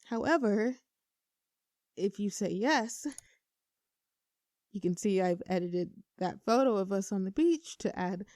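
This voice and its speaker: A woman's voice: medium pitch, dark tone, no room sound, lightly acted. Actress as playful, flustered young woman, close and dry. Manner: playful, teasing, earnest, slightly flustered. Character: nervous, playful young woman confessing love to best friend. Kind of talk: intimate scripted audio roleplay, conversational.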